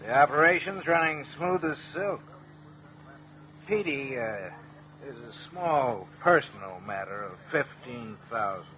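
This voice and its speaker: An actor playing a smooth racketeer who makes quiet threats in a vintage radio crime drama. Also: male voice, medium pitch, lively intonation, unhurried, highs cut off. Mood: cool, insistent. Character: smooth, menacing male racketeer demanding his money. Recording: noisy old radio drama recording.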